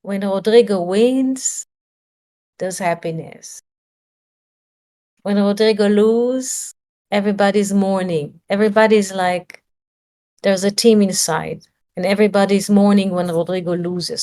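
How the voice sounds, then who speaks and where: A woman's voice: mid-range voice, steady tone, a little slow, pauses often, warm tone. Woman giving a searching spiritual talk. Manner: searching for words, rhetorical questions. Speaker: spiritual teacher.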